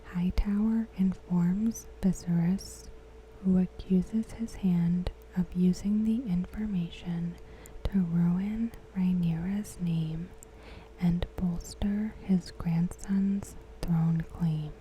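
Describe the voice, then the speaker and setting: A woman narrates in a soft, breathy ASMR whisper, taking her time over every phrase. Her tone is very dark and mellow, with a deliberate, hushed delivery. A faint background hiss sits behind the voice. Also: fairly low pitch, fairly even intonation, poor audio. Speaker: ASMR host.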